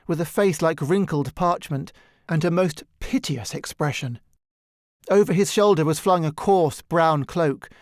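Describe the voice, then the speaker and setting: A man narrating a literary story from a script in an expressive, measured storytelling manner. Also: fairly high pitch, dry recording, clean studio sound. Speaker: audiobook narrator.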